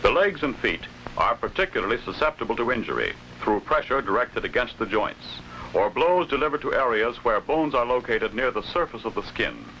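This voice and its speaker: An American man delivers formal, scripted instructional film narration in an authoritative, deliberately enunciated way, on an old film soundtrack. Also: mid-range voice, big pitch swings, pushed and bright, slight background noise, low-quality recording.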